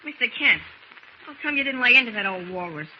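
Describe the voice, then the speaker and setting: A man's voice: very high-pitched, lively intonation, fast. An actor playing a brash, scrappy, slangy tough boy in an old radio drama. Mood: griping. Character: brash, scrappy street kid, a boy stowaway. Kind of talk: 1940s radio acting. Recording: dull, noisy old radio recording.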